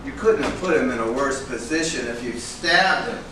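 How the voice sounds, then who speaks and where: A man playing a pushy, fretting talent agent in a staged reading, with halting, deliberate delivery. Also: mid-range voice, animated, close to an everyday voice. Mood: accusing, worried. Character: pushy, fretting male talent agent, accusing then flattering. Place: room at a live staged reading. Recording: echoey room, distant mic, noisy.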